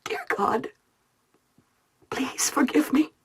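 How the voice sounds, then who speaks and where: Video game role: anguished praying mother, half-whispered, very slow, high-pitched, penitent. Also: wildly swooping pitch, heavily acted. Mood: hushed, penitent. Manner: breathy, half-whispered, pleading. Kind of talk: dramatic video game monologue.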